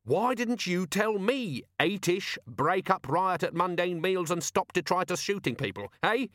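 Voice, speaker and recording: A worn-out, irritable police commander, acted by a male narrator. He is sardonic and exasperated, with pitch that jumps about, in a storybook reading recorded dry and close. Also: lively intonation, normal speed, a bit bright, dry recording, lightly acted.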